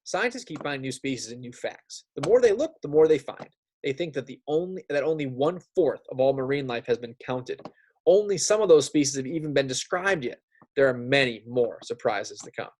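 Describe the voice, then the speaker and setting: A man's voice: mid-range voice, lively intonation, fairly quick, darkish tone. A man teaching young students in an informal read-aloud style, chatty and often checking in with them. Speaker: elementary school teacher. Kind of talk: read-aloud lesson with casual asides.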